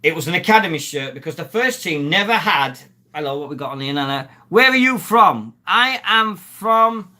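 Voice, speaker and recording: A man's voice: fairly high pitch, expressive, moderate pace, pushed and bright. Chatty man hosting a live stream. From Sheffield, England. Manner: rambling and chatty, greeting viewers and reading the chat aloud. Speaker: live stream host, former rugby league player. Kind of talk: casual live stream chat.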